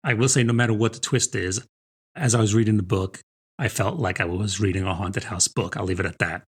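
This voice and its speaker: Low-pitched man, slightly husky, chatting about books. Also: steady tone, fairly quick. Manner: conversational, informal. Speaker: podcast co-host discussing books. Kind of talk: casual book review chat.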